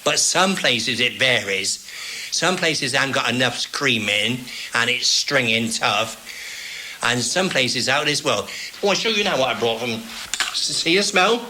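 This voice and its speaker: A man chatting homely and rambling as an interviewee, with halting restarts. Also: medium pitch, lively intonation, sharp tone, a little hiss or hum, low-quality recording. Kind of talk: casual chat.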